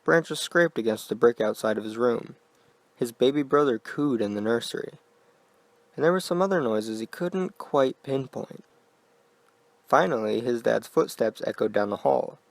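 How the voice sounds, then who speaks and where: A man narrating a scripted horror story in a quiet, deliberate manner. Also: mid-range voice, creaky, dry recording.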